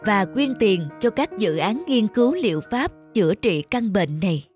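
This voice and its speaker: Calm woman narrating a cartoon. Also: mid-range voice, moderate pace, soft background music. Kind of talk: scripted narration.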